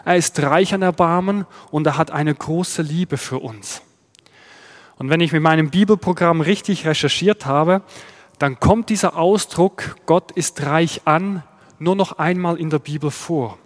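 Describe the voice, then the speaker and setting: A man with a Swiss German accent, preaching a sermon in a measured, explanatory way and posing rhetorical questions. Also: a little high, big-room sound. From Switzerland. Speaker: pastor. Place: church.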